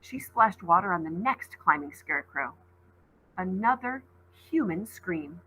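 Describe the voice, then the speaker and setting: A woman's voice: fairly low pitch, expressive, unhurried, pushed and bright, a little hiss or hum, low-quality recording. A woman teacher reading a storybook aloud expressively and dramatically, with asides to her listeners.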